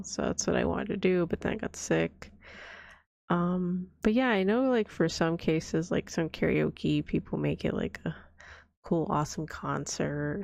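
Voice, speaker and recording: A sick woman streamer talks in a low, deep, gravelly rasp, her voice hoarse and scratchy from a cold. She rambles in a soft, giggly way with frequent coughs. The sound is close and dry, with no room around it. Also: dry recording. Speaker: vtuber streamer. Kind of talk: soft-spoken casual stream chat.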